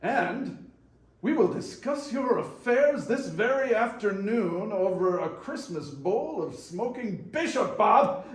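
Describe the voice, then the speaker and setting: A man playing an old reformed miser, excitable and theatrical in a readers theater performance. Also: high-pitched, takes it slowly, heavily acted. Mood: jovial. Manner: excitable, full of chuckles, theatrical. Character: old Scrooge, male miser newly reborn, giddy and generous. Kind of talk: readers theater stage reading. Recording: echoey room.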